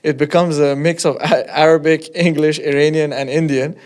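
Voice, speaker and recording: A man's voice: a little high, fairly even intonation, moderate pace. A man hosting a podcast, talking in a conversational style as he discusses and asks questions. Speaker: podcast host.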